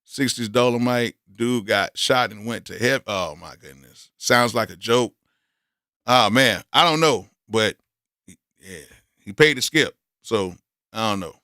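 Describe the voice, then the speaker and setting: Hoarse, bright-voiced man reviewing beats. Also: lowish voice, measured pace, no room sound, clean studio sound. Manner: candid, blunt, full of exclamations. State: hoarse, losing his voice from yelling. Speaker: beat reviewer hosting a live stream. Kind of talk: casual live-stream commentary.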